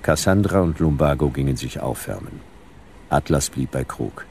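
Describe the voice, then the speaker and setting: An actor playing a laconic, world-weary detective who narrates a hardboiled radio drama in a deadpan, gravelly voice. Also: male voice, extremely low pitch, normal speed, a little hiss or hum, exaggerated voice. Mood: hushed, laconic. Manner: deadpan, terse. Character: laconic, world-weary male detective telling his story.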